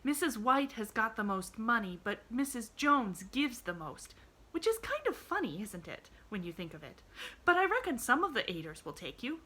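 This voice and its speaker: A woman voicing an eager, cheerful young girl who talks in breathless rushes. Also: a little high, sing-song, pitched above the performer's own voice, exaggerated voice. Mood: excited. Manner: bright, breathless and chattering.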